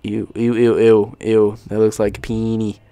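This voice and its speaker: A young man with a fairly low voice reacts casually to an unboxing video. He jokes and swears as he comments off the cuff. The recording is dry and close. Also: completely flat delivery, a little slow, dark tone, smooth, no room sound. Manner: joking, sweary, easily amused.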